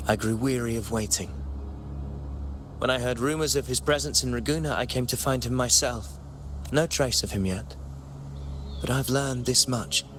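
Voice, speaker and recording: An actor playing a proud, courtly warrior in a video game, speaking formally and solemnly. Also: male voice, medium pitch, natural voice. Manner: formal, solemn, archaic phrasing. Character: proud, courtly male warrior seeking to write his own destiny. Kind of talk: scripted video game voice acting. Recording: loud game music behind. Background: game music.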